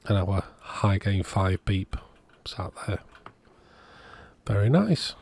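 Low-voiced man narrating repairs. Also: expressive, slow, gravelly, a little mellow. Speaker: electronics repair video host. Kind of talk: casual workbench repair narration.

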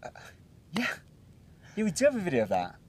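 A man's voice: high voice, huge pitch swings, brisk, noisy background. A young British man recapping a trip in casual chat, rambling with plenty of ums and restarts, sitting outdoors at a hilltop viewpoint. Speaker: travel vlogger.

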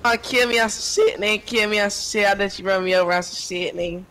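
A man's voice: sits high, fast talker, pushed and bright. A man in online game chat trash-talking in a confrontational, profane, slangy way. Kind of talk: heated trash talk.

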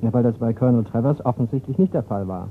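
A man's voice: mid-range voice, close to an everyday voice. Guy playing patient radio play detective, keeps probing, thin muffled old sound. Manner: questioning, measured, persistent. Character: patient, probing male investigator. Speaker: actor. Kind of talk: German radio crime drama acting. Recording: muffled, slightly noisy radio play recording.

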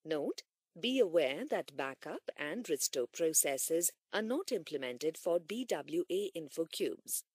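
A woman reading scripted instructional narration in a measured, formal manner, as for an e-learning course. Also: deep voice, sing-song, darkish tone, breathy edge, dry recording, clean studio sound.

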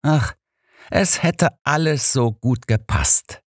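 A man's voice: mid-range voice, animated, slow, no echo, studio quality, voice pushed up. A man voicing a confident, cunning old detective with an assured, persuasive manner in an audiobook. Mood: disappointed. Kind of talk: audiobook character dialogue.

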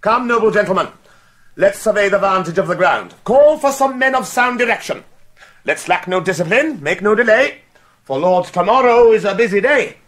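A man's voice: sits high, lively intonation, moderate pace, a bit bright. An actor playing a restless, imperious villain king in Shakespearean drama, brooding and mercurial, barking abrupt commands. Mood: restless bravado. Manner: abrupt commands with sudden swings. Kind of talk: classical Shakespearean stage acting.

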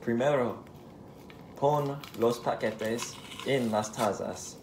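Young guy w american accent reading recipe steps slow and halting, super noisy kitchen. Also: mid-range voice. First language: English. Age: young adult. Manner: deliberate, halting learner's Spanish. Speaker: cooking vlog co-host. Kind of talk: rehearsed recipe narration. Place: indoors, home kitchen and dining room. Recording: echoey home kitchen, loud background noise.

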